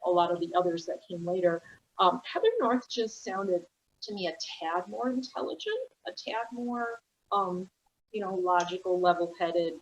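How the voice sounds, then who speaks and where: Eager woman, low voice, muffled. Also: moderate pace, a little hiss or hum. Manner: enthusiastic fan, searching for words. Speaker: panel guest. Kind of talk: casual panel discussion.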